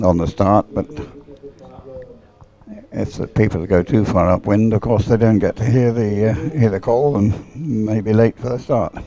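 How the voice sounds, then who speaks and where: A man's voice: fairly low pitch, mellow. A man giving unscripted live sports commentary in a hesitant, rambling way, as if thinking aloud.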